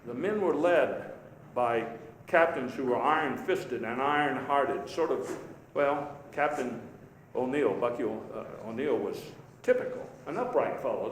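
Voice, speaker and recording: An actor playing a vigorous, patriotic elder statesman giving a folksy, emphatic, halting speech in a live historical reenactment. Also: medium pitch, natural voice. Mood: stirring, patriotic. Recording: echoey live hall, faint background noise.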